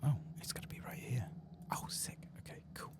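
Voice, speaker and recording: A man doing a soft-spoken, often whispered ASMR game playthrough in a hushed, relaxed manner. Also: fairly quick. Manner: hushed, relaxed, reading quest text aloud. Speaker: gameplay streamer.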